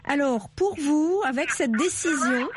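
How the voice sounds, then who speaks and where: A woman's voice: high-pitched, expressive, a little slow, background noise. A woman hosting a radio call-in show, putting questions to listeners and moderating. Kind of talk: moderated phone-in interview.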